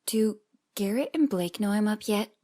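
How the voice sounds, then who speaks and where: A woman's voice: medium pitch, normal speed, natural voice. A woman playing a stubborn, vengeful young female fighter in an audio drama. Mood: grudging. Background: loud background music.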